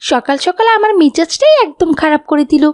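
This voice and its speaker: A spirited little schoolgirl from a children's cartoon. The voice sits extremely high. She is chatty, expressive and quick to get excited or cross. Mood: annoyed. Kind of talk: animated children's cartoon dialogue.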